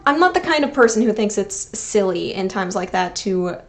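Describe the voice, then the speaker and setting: A woman's voice: mid-range voice, big pitch swings, moderate pace. Earnest young woman hosting a video essay. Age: 20s. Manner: earnest, sentimental fan talk with wry, self-deprecating asides. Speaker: video essayist and channel host. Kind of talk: personal video essay narration.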